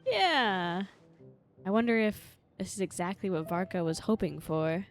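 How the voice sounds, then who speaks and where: Expressive young woman streamer, unhurried. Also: medium pitch. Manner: slangy, sweary, repeats phrases. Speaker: live streamer. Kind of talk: casual game stream commentary. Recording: game music behind voice. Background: video game music.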